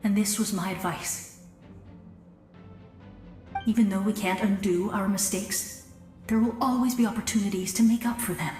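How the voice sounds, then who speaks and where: An actress as a kind, steady protector. Her voice is mid-pitched, composed and reassuring, game dialogue with a roomy echo. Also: normal speed, pauses often, faint music behind, lightly acted. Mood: compassionate. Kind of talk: video game voice acting.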